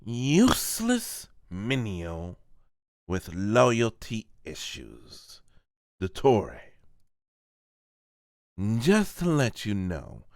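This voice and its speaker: A sinister mad scientist from a manga voice-over, as a man acts him. He speaks very slowly with a creaky edge, theatrical and condescending, coldly amused by whoever he addresses. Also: lowish voice, a little mellow, no echo, clean studio sound, full character voice. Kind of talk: amateur manga voice-over acting.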